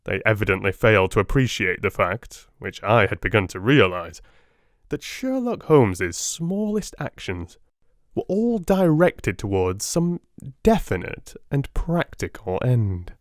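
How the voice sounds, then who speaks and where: A man narrating a dramatised audiobook in a deliberate, theatrical storytelling manner. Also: lowish voice, very animated, dry recording, studio quality.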